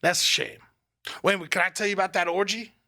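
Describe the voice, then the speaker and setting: Man doing a high, gravelly burnout roadie. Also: fast, bright, forceful tone, exaggerated voice. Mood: spaced-out. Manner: rambling, absurd boasting tall tales. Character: spaced-out burnout male roadie storyteller. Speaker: podcast co-host. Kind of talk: improvised comedy bit.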